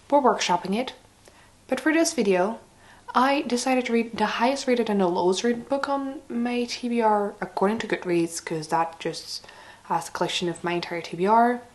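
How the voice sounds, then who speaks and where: A woman with a hoarse, raspy voice from slight laryngitis, chatting casually and a little haltingly as she reviews books to camera. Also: fairly high pitch. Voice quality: raspy, breaking into breathiness. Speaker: book vlogger.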